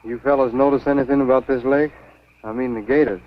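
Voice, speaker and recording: A man's voice: medium pitch, fairly even intonation, brisk, close to an everyday voice. An actor playing a laconic, folksy swamp man with a Southern US accent in a 1950s B-movie. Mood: grim, uneasy. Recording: dull 1950s film soundtrack.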